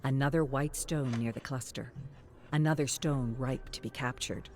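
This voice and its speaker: A narrator with a medium-pitched voice reads a scripted tale. The delivery is measured and dramatic storytelling in the present tense, laid over music. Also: steady tone.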